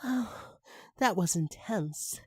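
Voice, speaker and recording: A man's voice: sits high, animated, slow, a little mellow, slightly rough, no room sound, studio quality, voice pushed up, full character voice. A man voicing a weary, courteous young video game princess in a story reading. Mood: weary, polite.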